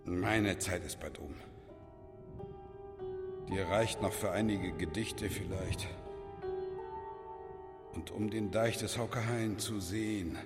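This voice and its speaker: An actor in a German radio play playing an old village schoolmaster, a weary but authoritative peacemaker who admonishes in a declamatory way. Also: male voice, on the low side, slow pace, pauses often, over loud music. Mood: resigned.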